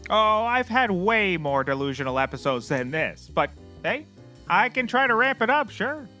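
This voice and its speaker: A bright, high, swooping male voice for a deluded oddball private eye, acted by a man. He is excitable, boastful and blissfully oblivious, in the manner of audio comedy, with some music behind. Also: normal speed, heavily acted. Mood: blithe. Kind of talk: scripted comedy audio acting.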